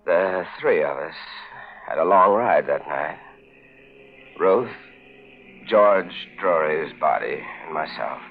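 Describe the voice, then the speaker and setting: An actor playing a desperate amnesiac fugitive in a 1940s radio thriller, with an urgent, melodramatic delivery. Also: male voice, extremely low pitch, lively intonation, takes it slowly, pauses often, full character voice. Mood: grim, brooding. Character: frantic amnesiac fugitive husband turned killer, narrating his crimes. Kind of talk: 1940s radio thriller acting and first-person narration. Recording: muffled 1940s radio recording with music.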